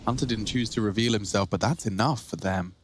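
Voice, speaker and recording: A man with a British accent giving lively play-by-play commentary on an esports match. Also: fairly low pitch, a little fast, a little hiss or hum.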